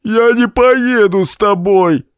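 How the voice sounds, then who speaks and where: A man's voice: high voice, smooth, dry recording, clean studio sound, pitched above the performer's own voice. A man voicing a big, simple-minded, deranged young brute in an audiobook, bellowing threats in a strained, shrieking voice. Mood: raging. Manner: bellowing, howling threats in slow bursts.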